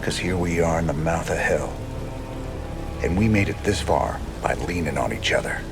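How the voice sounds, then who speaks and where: A low, gritty rebel commander speaks plainly and firmly, tough and loyal to his crew. Cinematic game music swells behind him. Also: expressive, normal speed, creaky. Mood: resolute. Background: music score.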